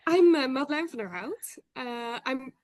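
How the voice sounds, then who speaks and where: A woman with a Dutch accent, speaking as an industry analyst on a webinar panel, measured and thoughtful with frequent fillers. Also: high voice, animated, no echo. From Netherlands. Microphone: video call.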